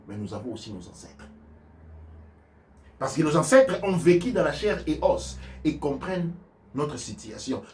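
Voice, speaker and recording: Male spiritual teacher, halting and emphatic, mid-pitched voice, heard from a bit away from the mic. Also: darkish tone, far from the mic. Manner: halting, repeats words, emphatic. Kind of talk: religious teaching, live Q&A.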